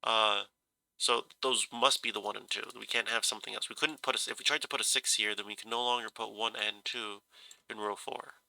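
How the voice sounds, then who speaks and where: A man's voice: lowish voice, steady tone, a little fast, gravelly, bright, forceful tone, dry recording. A man with a stuffy nose and a slightly scratchy voice from a cold, musing hesitantly as he talks himself through a puzzle and corrects himself. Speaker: puzzle solver. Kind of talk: casual thinking-aloud puzzle solving.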